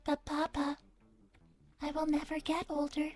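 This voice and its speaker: A woman's voice: high-pitched, monotone, unhurried, darkish tone. A woman voicing a polite, childlike AI hologram daughter, earnest and pleading, with stilted, careful delivery in a livestream role-play. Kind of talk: in-character livestream chat.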